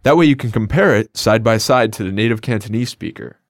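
A man's voice: fairly low pitch, fairly quick, no room sound. A man co-hosting a scripted language lesson, explaining phrases in an upbeat, plain way. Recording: dry studio recording.

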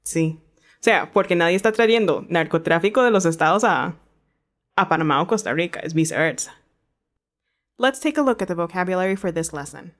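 A woman's voice: lowish voice, lively intonation, moderate pace. A woman hosting a language lesson, moving between presenting and casual chat.

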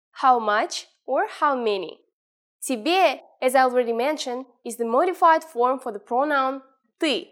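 A young woman speaks English with a Russian accent as she hosts a short scripted lesson. Her voice sits a little higher than most, and she slows down carefully to say each example phrase. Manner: clear, slowed model pronunciation of phrases. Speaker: language teacher, show host.

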